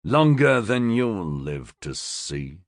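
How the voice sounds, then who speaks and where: A man's voice: lowish voice, sing-song, slow pace, dry recording, studio quality, heavily acted. A man voicing a cold, guarded male police captain who speaks in a curt, clipped way.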